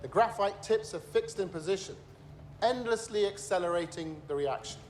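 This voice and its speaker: A grave male nuclear scientist giving courtroom testimony in a television drama. His voice sits a little high and he explains in measured, emphatic phrases with an edge of bitterness. There is faint noise behind him. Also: lively intonation. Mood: grave, urgent.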